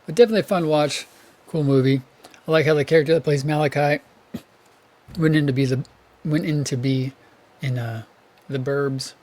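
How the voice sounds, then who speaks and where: Hoarse man rambling about tapes. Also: fairly high pitch, steady tone, normal speed, long pauses, darkish tone. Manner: rambling, thinks aloud and corrects himself. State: hoarse, losing his voice. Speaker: VHS collector channel host. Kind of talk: casual collector vlog.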